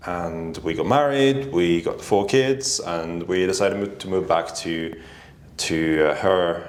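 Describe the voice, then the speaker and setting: A middle-aged man from Norway, a native Norwegian speaker, answering interview questions thoughtfully with many pauses. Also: sits low. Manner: thoughtful, hesitant, many ums. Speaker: guest, company founder. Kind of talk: casual interview. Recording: echoey room.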